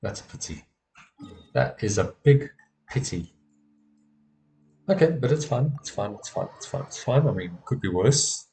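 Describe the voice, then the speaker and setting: Low-voiced man muttering through a game stream. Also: expressive, a little slow, long pauses, mellow, rough recording. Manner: self-talking, muttering and repeating phrases, mildly sweary. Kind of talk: casual live gameplay commentary, talking to himself. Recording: game music behind the voice. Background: video game music.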